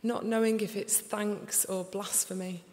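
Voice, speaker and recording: A young woman with a Sheffield accent reading her poems aloud in a slow, deliberate recital before an audience. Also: mid-range voice, flat delivery, darkish tone, a little hiss or hum. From England. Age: young adult. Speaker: poet. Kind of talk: poetry reading. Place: large concert hall. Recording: live reading in a reverberant hall.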